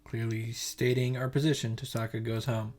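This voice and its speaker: A man's voice: medium pitch, flat delivery, moderate pace, darkish tone. A man hosting a game reaction, reading visual novel text aloud and adding informal, sweary commentary. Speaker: video game reaction host. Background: game music.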